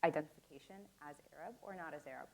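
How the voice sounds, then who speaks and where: A woman's voice: deep voice, fast talker, a little mellow. A young woman moderating a conference panel in a casual way, filling her talk with "like" and "um.". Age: young adult. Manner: casual, lots of "like" and "um" fillers. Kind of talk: panel discussion. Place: conference room. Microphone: handheld microphone.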